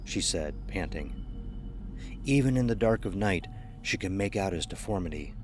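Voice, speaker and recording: A man's voice: low voice, expressive, normal speed, soft background music. A man reading a scripted horror story as its narrator.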